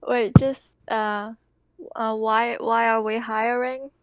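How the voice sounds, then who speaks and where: A woman's voice: fairly high pitch, level tone, slow, clean tone, dry recording. A woman playing a tabletop role-playing game, speaking in character and chatting at the table with hesitant phrasing. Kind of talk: in-character role-play dialogue and table chat.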